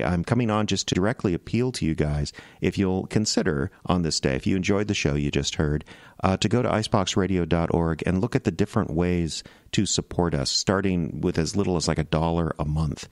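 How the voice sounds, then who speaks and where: A man's voice: low voice, no echo, clean studio sound. A man with an American accent, a podcast producer talking casually and earnestly straight to his listeners. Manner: earnest, conversational, hesitant phrasing. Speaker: podcast producer making an appeal. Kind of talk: casual direct address to listeners.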